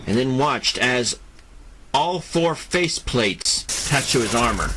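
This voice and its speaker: A male narrator delivers scripted superhero action. He speaks slowly with dramatic pauses, his bright voice moving in lively swings, over faint background noise. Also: medium pitch.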